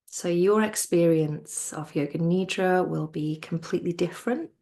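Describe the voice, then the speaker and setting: A woman teaching yoga nidra in a soothing, gentle manner, her soft voice trailing off toward a near-whisper as she guides relaxation. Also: on the low side. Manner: soothing, gentle, unhurried with pauses.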